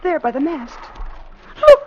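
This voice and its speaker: Scared captain's wife, pleading and melodramatic, swoops all over, muffled old radio recording. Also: female voice, extremely high pitch, sing-song, a little slow, background noise, full character voice. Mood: anxious pleading. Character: frightened, pleading ship captain's wife on the brink of hysteria. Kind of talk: 1940s-50s radio drama acting.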